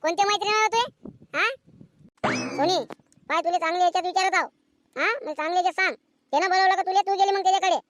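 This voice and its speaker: A man voicing a furious, foul-mouthed village mother in a cartoon comedy, scolding in a rural Vidarbha Marathi accent with a pitched-up voice. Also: fairly even intonation, fast talker, long pauses, background noise. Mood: angry interrogation. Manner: scolding, hectoring, repetitive questioning.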